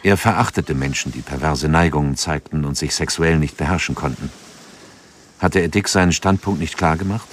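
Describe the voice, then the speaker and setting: A man narrating a radio drama in a calm, measured way, with music behind him. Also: a bass voice, slight background noise.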